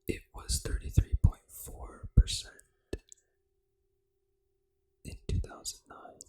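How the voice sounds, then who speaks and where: Asmr host whispering the whole time, no voice just breath, reading stuff out slow and careful. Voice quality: unvoiced whisper. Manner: hushed, deliberate reading. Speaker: ASMR gaming channel host.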